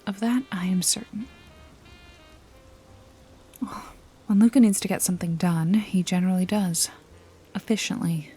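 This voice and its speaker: Woman doing a bossy shady lady detective for noir asmr, breathy n unhurried, wry teasing, faint music behind. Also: lowish voice, mellow. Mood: dry, reassuring. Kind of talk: noir mystery ASMR roleplay, scripted, addressed to listener.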